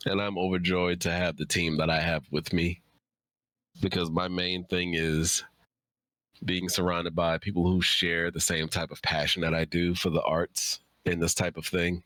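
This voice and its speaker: A man's voice: low-pitched, level tone, moderate pace, a bit bright, no room sound, poor audio. A man speaking as a writer guest in a casual interview, earnest and informal.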